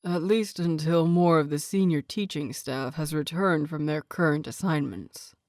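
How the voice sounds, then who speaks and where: A woman's voice: deep voice, a little mellow, dry recording, studio quality. Woman voicing a stern, formal older male teacher. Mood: grave. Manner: measured, formal, reserved.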